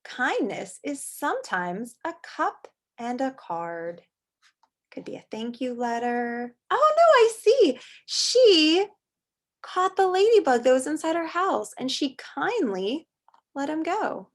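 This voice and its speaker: Female primary teacher, slightly high voice, expressive read-aloud for young kids, simple explanations and questions. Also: slow. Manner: explains words simply, asks children questions. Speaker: elementary teacher reading aloud to children. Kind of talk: storybook read-aloud lesson for young children. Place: online video session with screen sharing.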